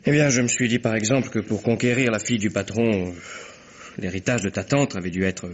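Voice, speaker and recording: Radio mystery role, male actor: brooding, conscience-stricken man seeking truth, breathy voice, dull vintage recording. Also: lowish voice, fairly quick, lightly acted. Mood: brooding, insistent. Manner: hesitant, brooding. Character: troubled, guilt-ridden man searching for the truth. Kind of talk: French radio mystery drama acting. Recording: muffled old radio drama recording.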